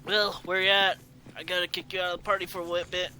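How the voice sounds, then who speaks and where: A man giving casual, rambling let's play commentary as a gaming host, often halting and trailing off mid-sentence. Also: high-pitched, little pitch movement, sharp tone. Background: video game music.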